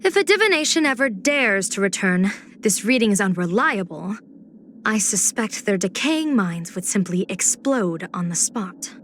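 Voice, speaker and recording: A woman's voice: medium pitch, animated, normal speed, a bit bright, a little hiss or hum, lightly acted. A woman voicing a playful, confident general and diviner in a video game, with poised, theatrical, teasing phrasing. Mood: wry, confident. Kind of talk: video game character voice lines.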